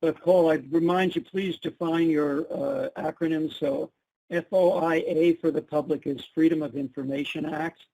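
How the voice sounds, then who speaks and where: A man's voice: a little high, steady tone, normal speed, dark tone, low-quality recording. A man with a Brooklyn accent presenting slides at a public meeting, explaining each picture step by step. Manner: explains slides step by step, asks for plain terms.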